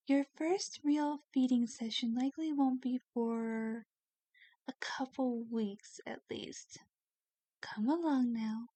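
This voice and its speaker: A woman playing a kindly, doting vampire lady in an ASMR roleplay, speaking gently with a genteel, coaxing manner. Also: fairly high pitch, no room sound, lightly acted. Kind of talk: ASMR roleplay, soft scripted acting.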